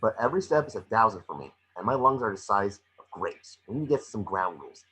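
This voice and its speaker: A man's voice: on the low side, sing-song, fast talker, pushed and bright, slightly rough, heavily acted. A man playing a small, cocky, wisecracking creature detective, snarky and bossy, in an amateur script reading.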